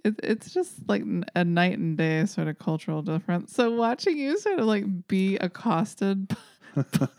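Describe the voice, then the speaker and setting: A woman's voice: medium pitch, expressive, normal speed, darkish tone, dry recording, clean studio sound. A young adult woman co-hosting a podcast, telling stories in chatty, casual talk with many fillers. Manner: chatty storyteller, lots of fillers and laughs. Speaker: podcast co-host. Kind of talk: casual chat.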